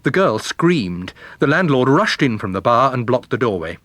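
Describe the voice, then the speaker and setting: A man's voice: mid-range voice, big pitch swings, normal speed, a bit bright, no echo. A man narrating a detective story in a dramatic, scripted reading style. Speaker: narrator and reader.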